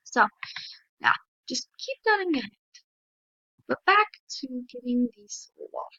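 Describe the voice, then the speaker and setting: A woman with a slightly high voice hosts a casual gaming video, tired and a little dry-throated. She talks slowly and hesitantly, filling pauses with ums and apologies. The sound is dry and dull, from a lo-fi mic. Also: sing-song, sharp tone, no room sound. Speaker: gaming channel host. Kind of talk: casual game commentary.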